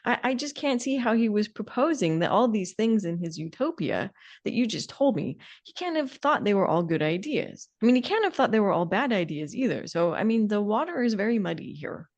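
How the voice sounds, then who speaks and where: A woman's voice: mid-range voice, big pitch swings, brisk, darkish tone, highs cut off. A woman co-hosting a podcast in casual discussion, musing wryly and often breaking off to rephrase her thoughts. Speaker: podcast co-host.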